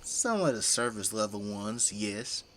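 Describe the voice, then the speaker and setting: Folksy, bright-voiced flamethrower-toting dad. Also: male voice, lowish voice, expressive, a little slow. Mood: matter-of-fact. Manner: folksy, colloquial, easygoing bragging. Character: folksy, boastful middle-aged zombie-fighting flamethrower operator, tipsy father. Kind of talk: audio drama acting.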